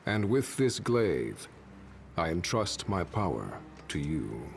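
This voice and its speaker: A man's voice: low voice, very animated, slow pace, vocal fry, background noise, exaggerated voice. A man playing an ancient, solemn warrior spirit in a video game, speaking in grand, archaic, formal phrases. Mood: solemn, grave. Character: ancient solemn warrior spirit, one-armed male blade master. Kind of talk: video game cutscene acting.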